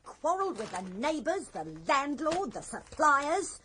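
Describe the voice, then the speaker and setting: An actress playing a nagging, scornful English shopkeeper's wife in a radio drama, carping and reproachful. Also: female voice, sits high, big pitch swings, unhurried, fairly bright tone, slight background noise, full character voice.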